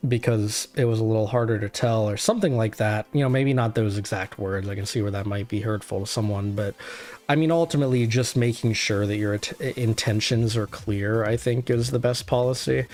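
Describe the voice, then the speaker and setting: A man's voice: mid-range voice, darkish tone. A man hosting a casual stream chat, thoughtful and rambling with many restarts. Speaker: streamer host.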